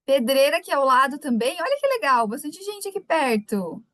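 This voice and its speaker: Casting: a woman with a slightly high voice and a light Gaúcho accent. She is a chatty webinar host who greets viewers by name. Dry, close computer mic. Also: expressive, moderate pace, bright, forceful tone, dry recording. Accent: light Gaúcho Brazilian Portuguese. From Rio Grande do Sul, Brazil. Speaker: webinar speaker. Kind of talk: live webinar talk.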